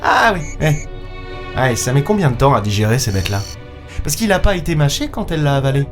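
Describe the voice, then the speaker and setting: An actor playing a weary, colloquial space captain who narrates and talks in a science-fiction audio drama. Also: male voice, medium pitch, sing-song, brisk. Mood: amused reminiscing. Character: world-weary male space captain, last human in the galaxy. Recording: music under the voice.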